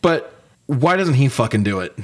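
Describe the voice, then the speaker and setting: A man's voice: medium pitch, big pitch swings, normal speed. A man co-hosting a casual, comedic movie review, talking with sarcastic, profane joking. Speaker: podcast co-host reviewing movies.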